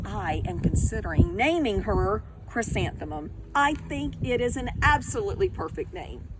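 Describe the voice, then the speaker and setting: A woman voicing a kind, elegant storybook music teacher with an airy, deliberate, reassuring manner. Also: mid-range voice, big pitch swings, heavy background noise, natural voice. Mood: gracious, proud.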